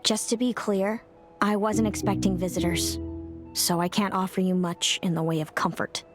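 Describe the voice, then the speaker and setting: A woman's voice: mid-range voice, little pitch movement, normal speed, over music, natural voice. An actress playing a guarded, curt young woman who speaks of fate with cool reluctance in a game cutscene. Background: game score.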